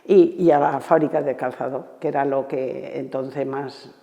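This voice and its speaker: A woman in her seventies, a former shoe factory worker, recounting her start at work in a plain, measured way. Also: a bass voice, steady tone, vocal fry, warm tone, big-room sound. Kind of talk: documentary interview testimony.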